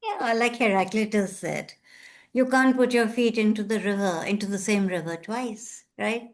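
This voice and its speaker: A woman's voice: medium pitch, moderate pace. A woman speaking reflectively in an informal discussion, posing rhetorical questions. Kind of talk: informal book club discussion.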